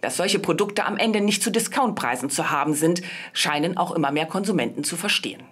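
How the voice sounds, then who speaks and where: A woman's voice: lowish voice, lively intonation, brisk, a bit bright, breathy edge. A woman reading scripted narration as a news reporter.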